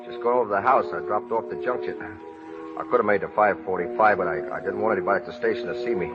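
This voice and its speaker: An old muffled radio play with music swelling behind. A man acts an earnest small-town fellow with a low voice. He talks plain and folksy, halting as if feeling for each word. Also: lightly acted. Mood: relieved. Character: earnest, rough-spoken small-town man hopeful yet nursing old hurt. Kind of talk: 1930s radio drama acting.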